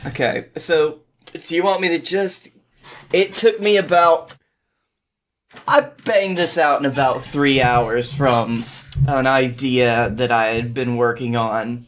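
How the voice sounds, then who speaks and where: A man's voice: mid-range voice, steady tone, takes it slowly. A man guesting on a podcast, talking casually and reading a story aloud, with an informal, self-deprecating way about him.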